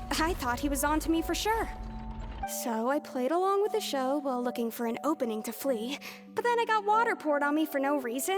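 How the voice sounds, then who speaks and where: An actress playing a nervous young woman thief, earnestly confessing and pleading her innocence, over game music. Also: very high voice. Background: game score music.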